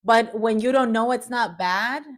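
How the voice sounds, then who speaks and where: A woman's voice: a little high, measured pace. Chatty California woman who laughs a lot. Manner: chatty, laughs often, switches into Spanish. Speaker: host. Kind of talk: casual podcast chat.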